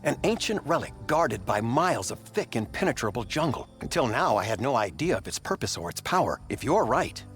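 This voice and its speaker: A dry, pompous scholar in a comedy adventure game. His medium-pitched voice rises and falls grandly in deadpan explanations, over faint music. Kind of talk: animated video game acting, dramatic exposition.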